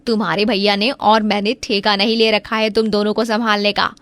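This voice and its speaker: A woman's voice: medium pitch, level tone, a little fast, a bit bright, dry recording, natural voice. A woman voicing a spiteful, scheming young married woman in a cartoon story, theatrical and nagging. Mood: harsh scolding. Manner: theatrical, scolding and falsely pleading. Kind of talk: Hindi cartoon story acting.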